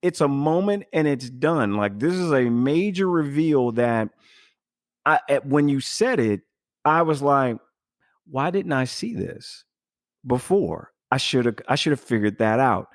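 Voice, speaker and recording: Man, podcast host, medium pitch, dry close sound, casual recap, halting think-aloud delivery. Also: expressive, no echo.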